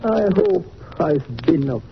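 Slow, formal radio doctor. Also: male voice, a little high, lively intonation, vocal fry, full character voice. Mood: solemn, patient. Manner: deliberate, formal, explanatory. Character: measured, formal male doctor. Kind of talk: 1940s radio acting. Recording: muffled, noisy old radio recording.

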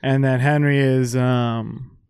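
A podcast host born in New Jersey chats in a relaxed way and swears casually. His voice is smooth and clear at a middle pitch, and the recording is dry and close with no room sound. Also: no room sound. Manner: relaxed, profane, quick to agree.

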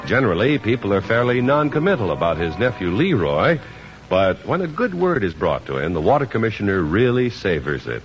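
A man's voice: on the low side, big pitch swings, a little fast. A man announcing a 1950s radio show with a polished, emphatic sales delivery. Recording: dull 1950s radio recording with music.

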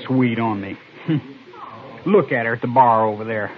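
An actor playing a put-upon, restless cowboy of twenty-one in a radio Western, with a boyish complaining way of talking. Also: male voice, mid-range voice, a little slow, lightly acted. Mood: annoyed. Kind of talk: old-time radio Western acting. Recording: lo-fi vintage radio recording.

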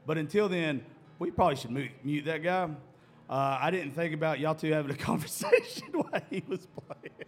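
A man's voice: on the high side, sing-song, brisk. A man hosting a podcast recorded live at a music venue, loose, joking and profane. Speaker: podcast host. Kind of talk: casual live podcast chat.

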